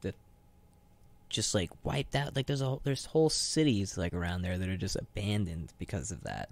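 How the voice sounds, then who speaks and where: A man's voice: deep voice, sing-song, moderate pace, creaky. A young man co-hosting a radio show in loose, rambling casual chat peppered with "like". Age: young adult. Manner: rambling, says "like" constantly. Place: radio studio.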